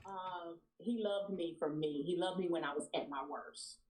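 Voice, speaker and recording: A woman, a guest speaking in a sassy, animated way. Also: fairly low pitch, moderate pace, poor audio. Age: 40s. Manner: sassy, animated, laughs freely. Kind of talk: casual interview chat.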